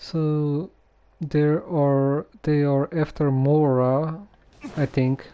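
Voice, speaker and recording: A man's voice: a little high, little pitch movement, extremely slow, warm tone, clear, resonant tone, highs cut off. A man streaming a video game, musing casually and thinking aloud in a hesitant way.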